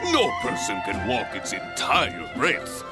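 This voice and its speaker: Boastful guild master adventurer, video game, hugely swooping pitch, very drawn-out delivery, hearty. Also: male voice, huge pitch swings, exaggerated voice. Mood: grand, reassuring. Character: jovial, grandiose seasoned male adventurer guild master. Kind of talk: video game character acting. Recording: game music behind the voice.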